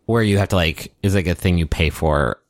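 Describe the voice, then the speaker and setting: A man co-hosting a podcast, joking and swearing, his voice gravelly after a busy stretch of performing. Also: fairly quick, no echo, studio quality. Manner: sweary, jokey, breaks into falsetto singing. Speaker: podcast co-host. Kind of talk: casual recap chat.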